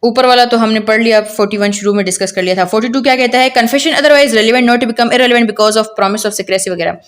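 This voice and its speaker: A woman's voice: fairly high pitch, brisk. A woman lecturing on law in an explanatory, didactic way, repeating and rephrasing points with rhetorical questions. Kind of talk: explanatory law lecture mixing Hindi-Urdu and English.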